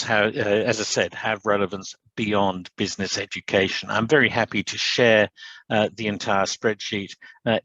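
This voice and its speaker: A man's voice: fairly low pitch, sharp tone, no echo. Hesitant man, dull dry lo-fi sound. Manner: hesitant, many uhs and restarts. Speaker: business school academic. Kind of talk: conference presentation.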